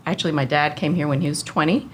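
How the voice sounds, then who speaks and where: A woman's voice: deep voice, quick pace, slight background noise. A woman in her forties, a podcast guest, telling her life story in an earnest, reflective way with frequent fillers. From United States. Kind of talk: casual conversational interview.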